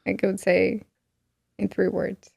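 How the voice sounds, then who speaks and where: A woman's voice: medium pitch, completely flat delivery, unhurried, gravelly, darkish tone, breathy edge, no echo, studio quality. A woman from Russia hosting a podcast, asking questions in a chatty, encouraging way. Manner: chatty, encouraging, frequent backchannels. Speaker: podcast host. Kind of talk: casual interview questions.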